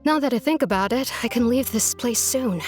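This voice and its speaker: A woman's voice: fairly high pitch, a little fast, darkish tone, faint music behind, close to an everyday voice. A woman voicing a thoughtful, respectful inmate in a video game.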